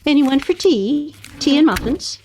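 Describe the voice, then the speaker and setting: Hospitable, higher-voiced, dry wife. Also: female voice, lively intonation, a little slow, vocal fry, warm tone, a little hiss or hum, full character voice. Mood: cheerful. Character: brisk, hospitable wife, drily needling her husband. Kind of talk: stage radio play comedy acting.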